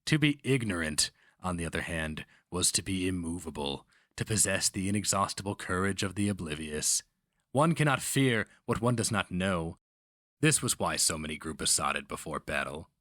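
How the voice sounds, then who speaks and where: Casting: man with a low register for solemn, theatrical fantasy audiobook narration, recorded dry. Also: animated, moderate pace, fairly bright tone, no echo. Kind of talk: dramatic scripted fantasy narration.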